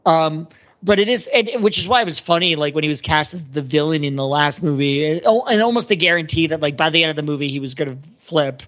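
A man's voice: a little high, fast talker, a bit bright. Male podcaster, loose and meandering conversational delivery, working out thoughts aloud, somewhat dull audio. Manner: rambling, thinking aloud. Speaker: podcast host. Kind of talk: casual movie discussion.

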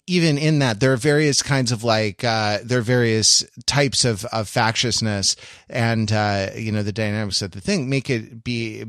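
A man with a mid-pitched voice talks as a podcast co-host, casual but analytical. He is thoughtful and halting, often circling back to rework a phrase. The sound is dry, with no room echo. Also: fairly bright tone. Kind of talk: casual analytical panel chat.

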